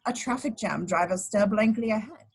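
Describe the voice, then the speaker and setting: A woman's voice: mid-range voice, normal speed, slightly rough. A woman reading scripted action descriptions as narrator at an informal table read, now and then stumbling and correcting herself. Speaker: narrator of stage directions.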